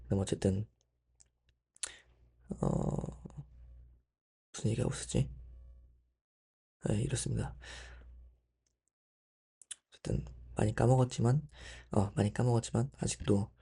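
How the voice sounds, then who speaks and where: A man's voice: on the low side, steady tone, a little slow, vocal fry, pauses often, warm tone, slightly rough. A young man talking to himself in a rambling, thinking-aloud way while he studies, often breaking off and restarting. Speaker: medical student. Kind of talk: casual study vlog monologue.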